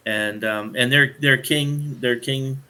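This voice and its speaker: A man with a medium-pitched, bright and forceful voice explains scripture in a casual podcast chat. He speaks haltingly, often doubling back on his phrases as he goes.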